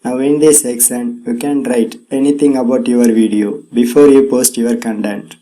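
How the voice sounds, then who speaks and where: A man's voice: mid-range voice, steady tone, normal speed, warm tone, poor audio. A man hosting a tech tutorial in scripted narration, with non-native English phrasing.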